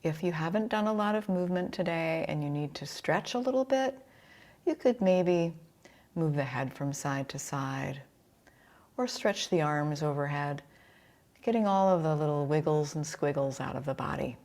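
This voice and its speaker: Low-pitched woman guiding relaxation. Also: darkish tone. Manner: soothing, unhurried instruction with pauses. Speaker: yoga nidra instructor. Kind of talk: guided relaxation meditation.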